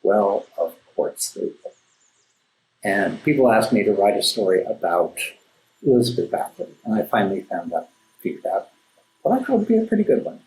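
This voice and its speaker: A man speaking as an interview guest, explaining and telling stories in a thoughtful, halting way with emphatic asides. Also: fairly low pitch, sing-song, darkish tone. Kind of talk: conversational storytelling and explaining in an interview. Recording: roomy echo, music underneath. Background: classical background music.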